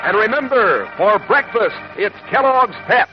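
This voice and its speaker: A man announcing as a chummy, enthusiastic sponsor pitchman, in folksy 1940s children's radio advertising style. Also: expressive, unhurried, over loud music. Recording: dull old radio recording.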